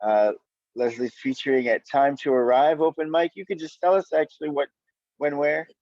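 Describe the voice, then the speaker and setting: A man hosting an online open mic casually and appreciatively, in halting, hesitant phrases, heard over dull call audio. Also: on the high side, steady tone, a little mellow, no room sound. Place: online video event.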